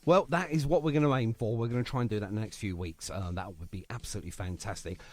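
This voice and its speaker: A man's voice: lowish voice, quick pace, darkish tone. A British man hosting a casual radio interview, effusive and matey, often exclaiming his approval. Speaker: radio host.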